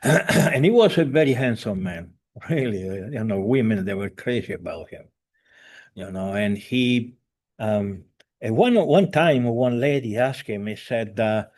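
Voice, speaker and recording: A man in his seventies, a spiritual teacher, giving an earnest, halting talk over a video call, with many fillers, restarts and non-native grammar. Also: mid-range voice, animated, darkish tone. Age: 70s.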